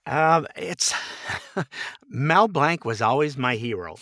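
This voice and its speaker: A man's voice: mid-range voice, slow, no echo. A man in his sixties chatting casually as a podcast guest, telling anecdotes and acting out quoted remarks, close on a studio mic. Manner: animated storyteller, acts out quoted remarks, chuckles as talking. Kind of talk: casual anecdotal interview chat. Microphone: close studio microphone.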